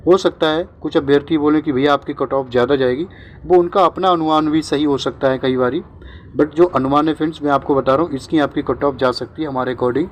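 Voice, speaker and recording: Higher-pitched man explaining updates, noisy background. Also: fairly even intonation, fairly quick. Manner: reassuring, repetitive with frequent check-in tags; mixes English words into speech. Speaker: exam updates presenter. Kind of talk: informal explainer addressed to exam candidates.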